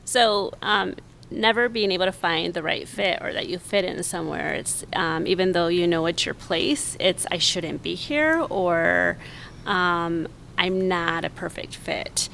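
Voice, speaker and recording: A woman in her forties, a podcast guest, talking in an earnest, reflective way with many pauses. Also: on the low side, vocal fry, fairly bright tone, slight background noise. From United States, raised in Mexico. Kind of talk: casual conversation.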